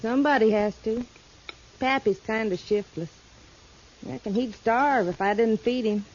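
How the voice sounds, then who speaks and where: A woman's voice: fairly high pitch, a little slow, darkish tone, natural voice. An actress playing a forthright, folksy young mountain woman of twenty-two with a rural Kentucky accent in a 1950s radio western. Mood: folksy, proud. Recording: dull, hissy 1950s radio recording.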